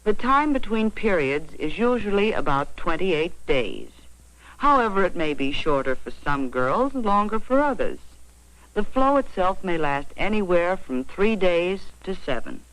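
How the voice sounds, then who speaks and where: An American woman narrating an educational film in a measured, explanatory, carefully phrased way, on a vintage 1940s soundtrack. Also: lowish voice, big pitch swings, no room sound, rough recording. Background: faint music.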